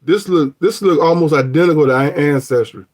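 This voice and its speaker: A man's voice: a little high, a little fast, darkish tone. A middle-aged man talking through his DNA results on a phone, rambling and joking with frequent restarts. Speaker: vlogger. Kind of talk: casual review of DNA results.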